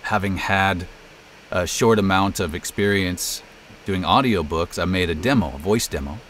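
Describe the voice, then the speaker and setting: A man's voice: sits low, moderate pace, fairly bright tone, slight background noise. A middle-aged American man chatting casually in an interview as a voice actor and narrator. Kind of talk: casual interview, telling career story.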